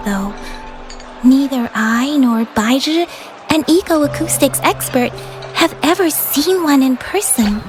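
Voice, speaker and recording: Thoughtful young woman in a game, high n gentle, explains stuff earnestly, music under it. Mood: earnest, informative. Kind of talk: video game voice acting.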